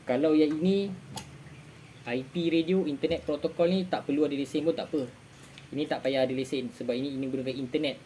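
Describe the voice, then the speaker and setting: A man's voice: fairly high pitch, fairly even intonation, a little fast, mellow, a little hiss or hum. A man with a Malaysian accent giving a casual, informal explainer to viewers, often repeating his phrases for emphasis. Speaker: amateur radio vlogger giving advice.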